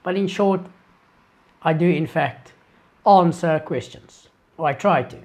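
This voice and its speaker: A South African man whose first language is Afrikaans, earnestly answering viewer questions in a live-stream Q&A, halting and restarting as he thinks. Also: fairly high pitch, a little mellow.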